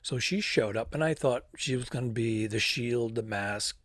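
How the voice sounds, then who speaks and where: A man co-hosting a comedy podcast from a cruise ship cabin, telling stories with deadpan punchlines in a slightly raspy voice. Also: mid-range voice, normal speed, no room sound, studio quality. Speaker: podcast co-host. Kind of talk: casual comedic chat.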